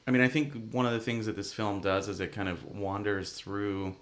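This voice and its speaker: A man's voice: on the low side, steady tone, moderate pace, fairly bright tone. A man hosting an arts interview in a conversational style, thinking through his questions aloud. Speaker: host interviewer.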